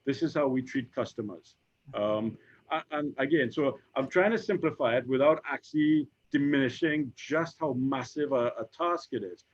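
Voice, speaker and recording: A Trinidadian man in his fifties, an insurance executive speaking on a panel, who talks haltingly with many repeated words and fillers. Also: mid-range voice. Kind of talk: conversational panel discussion. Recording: dull lo-fi recording.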